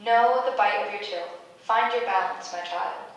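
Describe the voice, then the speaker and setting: A woman's voice: mid-range voice, moderate pace, dull audio, lightly acted. Woman as a solemn mother-nature goddess, very echoey. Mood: solemn, tender. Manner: solemn, incantatory, stately. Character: solemn, nurturing maternal nature goddess, disembodied. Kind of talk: staged reading of a play. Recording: very echoey room, distant mic, background noise.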